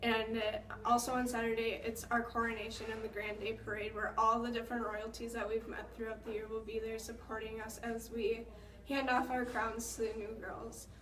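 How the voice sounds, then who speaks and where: A woman's voice: on the high side, little pitch movement, normal speed, a bit bright, roomy sound, distant mic, a little hiss or hum, low-quality recording. A teenage girl, a local ambassador, chatting through events in an interview, with frequent fillers. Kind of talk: casual interview.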